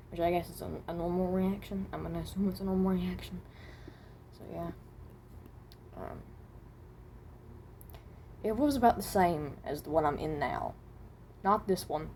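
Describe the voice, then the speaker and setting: A woman playing a hesitant, mild-mannered traveler from another dimension, speaking haltingly and often rephrasing in improvised character voice lines. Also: fairly low pitch, a little mellow, a little hiss or hum, lightly acted.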